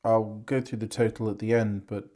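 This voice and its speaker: Hoarse English man chatting casually. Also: on the low side, flat delivery, moderate pace, warm tone. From England. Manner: rambling, self-correcting, chatty. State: losing his voice. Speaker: antiques reseller vlogger. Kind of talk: casual vlog chat.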